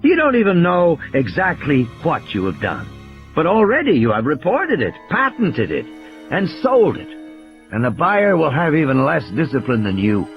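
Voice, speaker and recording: A man's voice: on the high side, wildly swooping pitch, normal speed, highs cut off, soft background music. A man playing an intense male mathematician who lectures in an emphatic, scornful way, in an audiobook drama. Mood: scornful, impassioned. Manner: emphatic, didactic.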